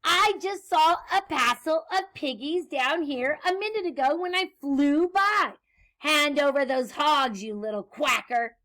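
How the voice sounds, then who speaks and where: A woman voicing a bossy, short-tempered witch from a children's storybook, with cackling, screeching, shouted demands. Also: high-pitched, animated, takes it slowly, dry recording, pitched above the performer's own voice, exaggerated voice. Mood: furious.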